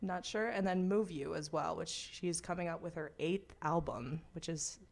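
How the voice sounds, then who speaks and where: A young American woman talks casually as a radio host. Her voice sits a bit low for a woman and often drops into vocal fry. The delivery is informal and conversational. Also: moderate pace. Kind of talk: casual on-air chat.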